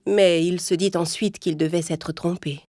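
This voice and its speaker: A woman narrating a cartoon fairy tale in a calm, even storytelling voice. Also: on the low side, normal speed, no echo. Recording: music playing underneath.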